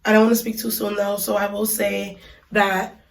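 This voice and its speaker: A woman's voice: medium pitch, level tone, moderate pace, creaky. Woman, stuffy from a cold, casual chatty review, filler words, roomy bathroom sound, distant mic. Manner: chatty, many ums and likes. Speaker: beauty vlogger reviewing hair. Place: small bathroom. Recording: echoey room, mic at a distance.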